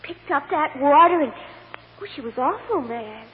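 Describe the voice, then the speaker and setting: A woman's voice: high-pitched, animated, moderate pace. An actress playing an innocent, earnest little American girl giving simple, hesitant testimony in a vintage radio courtroom drama. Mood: upset, earnest. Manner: simple, hesitant childlike answers. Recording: muffled old radio recording, echoey, faint hiss.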